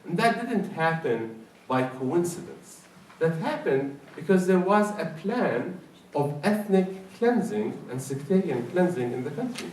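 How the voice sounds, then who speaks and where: A man from Iraq with an Iraqi accent gives an explanatory public talk in an emphatic, halting way, often repeating words. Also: on the high side, very animated, a little mellow. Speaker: speaker at a public forum. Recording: roomy, distant mic with faint noise.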